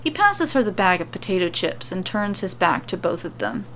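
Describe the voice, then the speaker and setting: A woman's voice: on the low side, sing-song, normal speed, a bit bright. A woman narrating a serial fan-fiction story as its host. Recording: clearly noisy recording.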